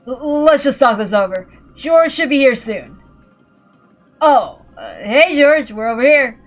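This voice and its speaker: A woman's voice: high-pitched, very animated, unhurried, soft background music, pitched above the performer's own voice, rough recording. A woman voicing a scheming, cynical middle-aged man who kills for money, in a mystery story reading. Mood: feigned panic. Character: scheming, dismissive middle-aged male killer with a gun.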